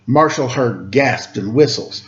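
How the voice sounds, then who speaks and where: A man's voice: medium pitch, measured pace, lo-fi sound. A man reading a children's picture book aloud as a storyteller. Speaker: storyteller, teacher.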